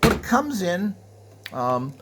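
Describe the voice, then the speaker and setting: A man's voice: high-pitched, very animated, takes it slowly, very noisy. A man presenting equipment in a casual, unscripted show-and-tell, with a blunt, griping, opinionated way of talking. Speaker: presenter demonstrating vintage equipment.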